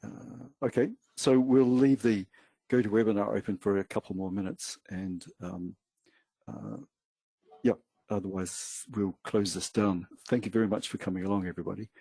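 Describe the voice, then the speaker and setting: A man with a New Zealand accent co-hosting a trading webinar in a relaxed, hesitant way with many fillers. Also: fairly low pitch, moderate pace, creaky, slightly rough, no room sound. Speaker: webinar co-host. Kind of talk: casual webinar talk.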